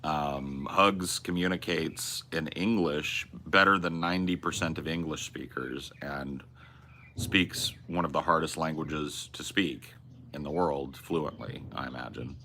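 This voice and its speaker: Streamer host, low and punchy, profane rambling chat. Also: male voice, unhurried, sharp tone, slight background noise. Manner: rambling, profane, restarts and self-corrections. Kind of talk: casual livestream chat reading viewer messages.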